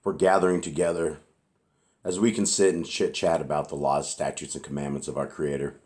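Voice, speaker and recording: A man with a hoarse, raspy voice and a very low, deep baritone teaches scripture in an earnest, informal way. He stops now and then to clear his throat. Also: flat delivery, brisk, a little mellow. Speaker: Bible teacher.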